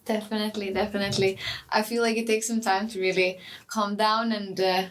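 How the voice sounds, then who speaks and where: A woman's voice: mid-range voice, flat delivery, brisk, a little hiss or hum, poor audio. A young woman from Lithuania, a graduating master's student, talking cheerfully as a podcast guest. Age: young adult. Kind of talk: casual podcast interview.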